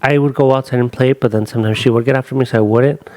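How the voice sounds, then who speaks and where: A man co-hosting a casual podcast, sounding nasal and congested from a cold, telling stories in a relaxed way. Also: medium pitch, racing, no echo. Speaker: podcast co-host.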